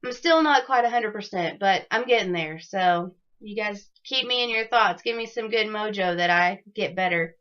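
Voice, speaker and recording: A woman hosting a knitting video podcast, chatting casually with many ums and sounding a little raspy from a cold. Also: medium pitch, normal speed, hardly any pauses, a bit bright. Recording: dull lo-fi audio.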